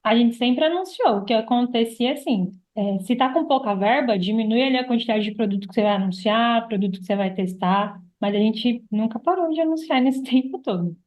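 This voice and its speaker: A woman's voice: on the high side, fairly quick, hardly any pauses, a little mellow. A woman with a Brazilian accent, speaking casually as a guest in a podcast conversation. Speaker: podcast guest, affiliate marketer.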